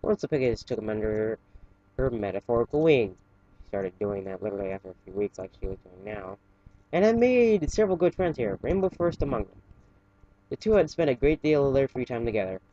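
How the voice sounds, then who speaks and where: Halting amateur male story reader, lo-fi sound. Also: mid-range voice, big pitch swings, dark tone. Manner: halting, stumbles and self-corrects while reading. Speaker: fan fiction reader. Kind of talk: casual amateur story reading with chatty asides.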